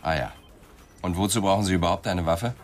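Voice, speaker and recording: Man acting a low-voiced, persistent police inspector. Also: brisk, soft background music, close to an everyday voice. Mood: sceptical, pressing. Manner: probing, sceptical, insistent. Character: dry, persistent male police inspector questioning a suspect. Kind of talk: German radio crime drama acting.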